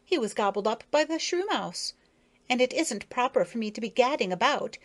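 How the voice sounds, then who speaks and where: A woman's voice: a little high, animated, moderate pace, voice pushed up. A woman voicing a haughty young widowed female beetle, fussy and superior.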